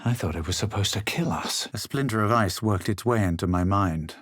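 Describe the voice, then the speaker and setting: Guy acting as haughty young fantasy hero guy in a video game, husky voice, super dry close sound, measured and dramatic. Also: fairly low pitch, no echo, natural voice. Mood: grave. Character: haughty, self-assured young male fantasy adventurer. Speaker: player character in a fantasy role-playing game. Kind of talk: video game voice acting, literary narration and exclamations.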